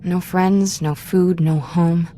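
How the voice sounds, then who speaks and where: A woman's voice: on the low side, steady tone, takes it slowly, mellow, slight background noise. A woman acting a sardonic young Jedi apprentice in a video game, with a casual, flippant way of talking. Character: sardonic young female Jedi apprentice, former street urchin.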